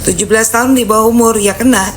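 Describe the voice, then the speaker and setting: A woman's voice: fairly high pitch, fairly even intonation, rapid-fire, slight background noise. A woman with a Jakarta Indonesian accent chatting casually and bluntly, with some sass, as she answers viewers on a live stream. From Jakarta (Betawi area), Indonesia. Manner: blunt, sassy, colloquial.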